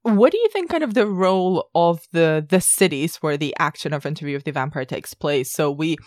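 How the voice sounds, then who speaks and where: Lower-pitched woman, dry close sound. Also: animated, brisk, darkish tone, dry recording. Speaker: film podcast co-host. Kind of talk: casual film discussion.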